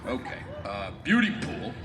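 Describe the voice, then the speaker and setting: A man's voice: mid-range voice, very animated, normal speed, recorded from a distance, crowd noise, noisy background, close to an everyday voice. A man playing a grumpy, reluctant, tough superhero, curt and exasperated, in a live comedy show. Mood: bored, sarcastic. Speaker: theme park show performer. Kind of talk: live comic storytelling stage show. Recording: echoey live show sound.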